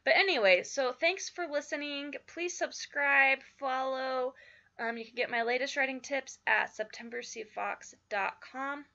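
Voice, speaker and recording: A woman talks through a writing lesson in a casual, filler-heavy way, her voice slightly croaky from just waking up. Her tone is bright and a little high. The recording is somewhat dull and lo-fi. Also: measured pace. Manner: chatty, many fillers like "like" and "you know". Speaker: writing teacher.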